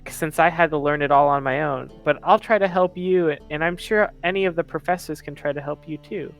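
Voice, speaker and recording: Smooth, clear-voiced tabletop game player. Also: mid-range voice, fairly quick. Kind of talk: casual in-character game chat. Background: faint music.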